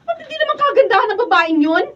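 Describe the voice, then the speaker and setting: An actress playing a bitter, materialistic young escort in a Filipino radio drama. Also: female voice, very high-pitched, sing-song, quick pace, a bit bright, full character voice. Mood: hurt, furious. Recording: dull, distant room recording, faint noise.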